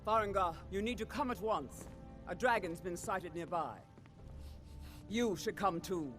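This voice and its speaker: A woman's voice: fairly low pitch, unhurried, natural voice. Woman doing tough no-nonsense guard captain lady, fantasy game, terse and stern, music going behind. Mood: urgent, stern. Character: stern, no-nonsense female military commander of a city guard. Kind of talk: fantasy video game acting.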